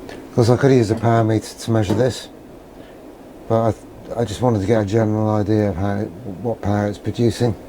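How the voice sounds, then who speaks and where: A man's voice: on the low side, fairly even intonation, a little fast, mellow, slight background noise, poor audio. A man thinking aloud in an understated, musing way as he gives a casual hands-on electronics demonstration, often putting questions to himself. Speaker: electronics hobbyist presenter.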